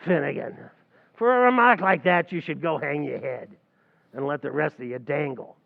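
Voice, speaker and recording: An actor playing a scheming, wisecracking tavern manager in a 1940s-style radio comedy. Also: male voice, on the high side, moderate pace, creaky, narrow, tinny audio. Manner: wisecracking, mangles grammar. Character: fast-talking scheming male tavern manager.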